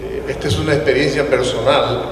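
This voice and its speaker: A man's voice: medium pitch, steady tone, fairly quick. A middle-aged man with a Honduran Spanish accent gives a didactic public talk, telling of his own experience as a microbiologist. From Puerto Cortés, Honduras. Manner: didactic, checks in with "¿verdad?". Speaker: microbiologist presenting at a food safety event. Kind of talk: public talk with personal anecdotes. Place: large echoing room with an audience. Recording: very echoey hall, distant mic, faint noise.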